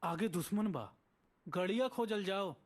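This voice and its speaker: A man's voice: high-pitched, lively intonation, unhurried. A man playing a rustic, comic village fighter with a Bhojpuri accent in folksy game voice-pack one-liners. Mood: bossy.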